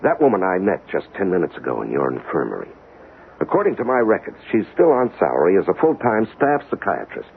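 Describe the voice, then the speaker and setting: By-the-book inspector, argumentative, very animated pitch, old radio drama, thin hissy recording. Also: male voice, a little fast, gravelly, narrow, tinny audio, full character voice. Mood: demanding. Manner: insistent, officious, argumentative. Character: rigid, by-the-book male government weapons investigator. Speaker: actor in a radio play. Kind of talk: 1970s radio drama acting.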